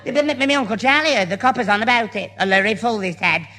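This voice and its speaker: A man's voice: high voice, expressive, fast talker, bright, forceful tone, big-room sound. A man playing a dim, panicky young lad with a stammer and a northern English accent in a radio comedy. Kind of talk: old-time radio sitcom acting.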